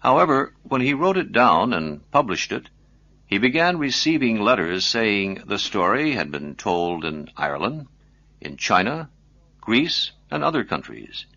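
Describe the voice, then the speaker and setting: Low, forceful male presenter, dull recording. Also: very animated, a little slow, dry recording. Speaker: programme presenter. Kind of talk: scripted educational radio narration.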